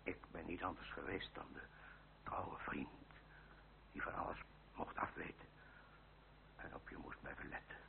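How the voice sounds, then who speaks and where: Loyal, gentle older uncle, deep breathy murmur, earnest period radio drama, narrow humming old recording. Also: male voice, steady tone, moderate pace, long pauses, in a whisper. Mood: confiding. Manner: hesitant, tender, earnest. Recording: old muffled radio play, faint hum.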